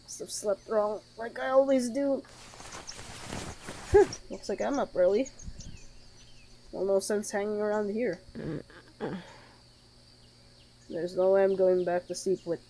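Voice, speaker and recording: Woman reading as an anxious young heroine, slow-paced. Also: medium pitch, fairly even intonation, dark tone, a little hiss or hum, pitched below the performer's own voice, close to an everyday voice. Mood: weary. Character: anxious young female heroine talking herself calm. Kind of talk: visual novel read-aloud acting.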